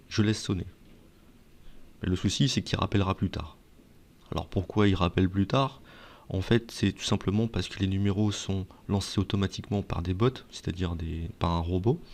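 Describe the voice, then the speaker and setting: Man, fairly low voice, video presenter, conversational explainer, question-and-answer delivery. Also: darkish tone. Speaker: video presenter giving advice. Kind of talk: explainer talk to viewers, semi-scripted.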